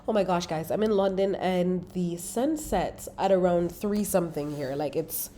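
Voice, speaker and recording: A young woman with a lowish, mellow voice hosts a spiritual podcast from her apartment. She is congested and sniffly from a cold. Her talk is casual, affectionate and motivational. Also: moderate pace. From Caribbean. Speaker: podcast host. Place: London apartment near a busy road.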